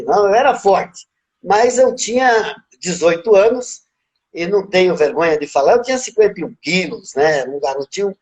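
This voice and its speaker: A relaxed man in his fifties with a faint Bahian accent, speaking in a rather high voice. He reflects on his past as an interview guest, unhurried and conversational. He often tags his thoughts with little questions to the listener. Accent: Bahian Portuguese. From Brazil, born in Minas Gerais, raised in Bahia. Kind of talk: casual life-story interview.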